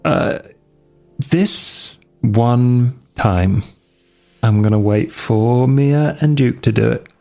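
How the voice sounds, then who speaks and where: A gravelly male player speaks very slowly, with a hesitant, wry delivery as he plays his character in a tabletop game. Faint music plays in the background. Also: medium pitch, warm tone.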